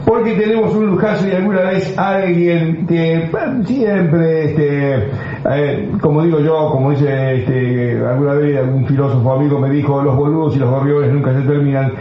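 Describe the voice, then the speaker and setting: Casting: male Argentine radio host, slightly high voice, effusive and rambling, very echoey muffled sound. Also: steady tone, normal speed. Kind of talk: casual radio interview chat. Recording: very echoey, muffled, faint noise.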